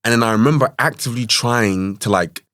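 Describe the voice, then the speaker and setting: A man's voice: mid-range voice, brisk, a bit bright, no room sound, clean studio sound. A young man in his twenties from Ireland with no trace of an accent, co-hosting a podcast and telling a story in a chatty way full of fillers. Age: 20s. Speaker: podcast co-host. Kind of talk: casual podcast chat.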